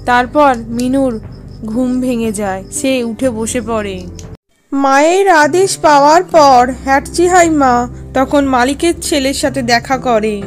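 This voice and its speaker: A woman's voice: sits high, slow pace, faint music behind. A woman narrator reading a scripted fairy tale for a cartoon.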